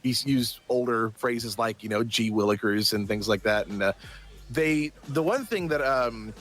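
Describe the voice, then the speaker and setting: A man's voice: mid-range voice, measured pace, fairly bright tone, faint music behind. A man chatting casually as an interviewed voice actor and musician.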